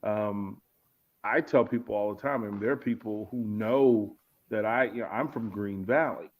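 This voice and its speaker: A man's voice: on the low side, normal speed. A man from Virginia, a guest speaker talking in a casual interview and thinking aloud as he repeats his words. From Arlington, Virginia. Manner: reflective, repeats words while searching for phrasing. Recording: muffled lo-fi audio.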